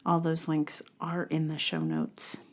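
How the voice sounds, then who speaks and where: Woman doing a solo podcast, talks slow, kinda wry and rambly, just thinking out loud. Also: low voice, sing-song. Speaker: podcast host. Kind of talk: casual solo podcast talk.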